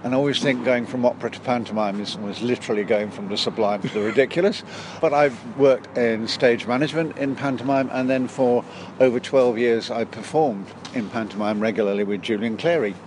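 Male expert guest, middle-aged, medium pitch, articulate explanations over clear background noise. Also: brisk. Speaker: pantomime expert, interview guest. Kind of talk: explanatory interview talk. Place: on a stage inside a big top tent. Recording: noticeable on-site background noise.

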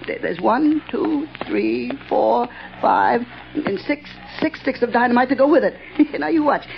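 A man's voice: very high voice, a little slow, creaky, a little hiss or hum, full character voice. An actor in a 1940s radio drama playing a deranged, fearful male night watchman and bomber who stammers, giggles and repeats himself. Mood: gleeful, manic. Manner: stammering, repetitive, giggling, excitable. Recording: muffled old radio broadcast.

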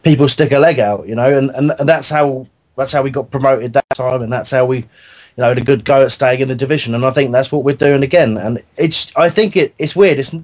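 A man's voice: mid-range voice, a little fast, a little mellow. A man co-hosting a football fan radio show, with a dry wit and a thoughtful way of talking. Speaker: co-host. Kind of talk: casual fan radio chat.

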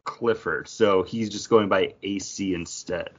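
A man's voice: sits low, unhurried, lo-fi sound. A man co-hosting a podcast, chatting casually as he reasons out quiz answers. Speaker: podcast co-host, quiz contestant.

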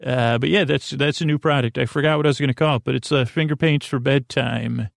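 A man hosting a sleep podcast, rambling softly with many fillers and restarts in a lulling, creaky voice. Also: mid-range voice, fairly even intonation, no room sound, clean studio sound. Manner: meandering, halting, full of fillers and restarts; soft, lulling, unhurried.

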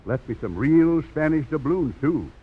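An actor playing a wry, folksy older country doctor for horses in a 1950s radio drama. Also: male voice, fairly high pitch, lively intonation, normal speed, breathy edge. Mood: chatty, folksy. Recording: dull old radio broadcast, faint hiss.

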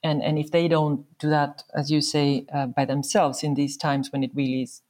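A woman with a Swedish accent conducting a conversational interview, haltingly searching for her words. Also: low-pitched, level tone, darkish tone. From born in Serbia, raised in Sweden.

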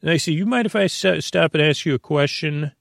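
Creaky-voiced man, rambling soothingly. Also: fairly high pitch, fairly quick, no room sound, studio quality. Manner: meandering, hesitant, often trailing into tangents; gentle, lulling, soothing. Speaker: host of a bedtime-story podcast. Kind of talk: rambling sleep-podcast monologue.

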